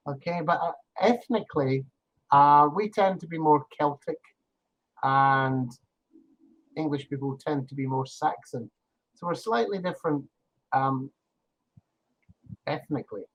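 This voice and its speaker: A man's voice: medium pitch, slightly rough, low-quality recording. An older man with a Scottish accent, chatting as an online English tutor, explaining with examples and laughing easily. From Scotland. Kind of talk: casual conversation lesson.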